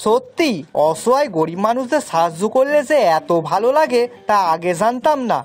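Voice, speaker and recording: A woman's voice: on the high side, sing-song, moderate pace, darkish tone, soft background music. A woman voicing a simple, kind-hearted village man in a children's cartoon, earnest and folksy. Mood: kindly.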